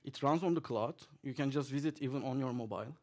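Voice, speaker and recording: A man talks through a tech demo at a conference, at an everyday middle pitch. He is relaxed and chatty, leaning on fillers and chuckling now and then as he goes. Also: fast talker. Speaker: conference speaker. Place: conference hall, audience present.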